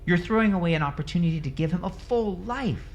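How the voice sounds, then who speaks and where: A man's voice: high-pitched, animated, fast, dull audio, a little hiss or hum, pitched above the performer's own voice. A man playing an urgent, exasperated father who pleads to save his son in an audiobook drama. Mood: urgent, pleading. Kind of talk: dramatic audiobook character dialogue.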